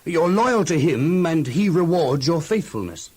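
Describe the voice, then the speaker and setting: An actor playing a wealthy, effusive master of an ancient household in a children's audio Bible drama, speaking expansively with emphatic, halting repetitions. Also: male voice, on the high side, animated, darkish tone, breathy edge. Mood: effusive, grateful.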